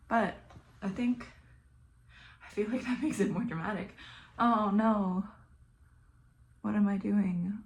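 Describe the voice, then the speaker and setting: A young woman with a Southern American accent, chatting flatly and casually while doing her makeup, sometimes dropping to a mumble or whisper. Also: mid-range voice, vocal fry, low-quality recording. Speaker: beauty vlogger. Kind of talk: casual get-ready-with-me chat.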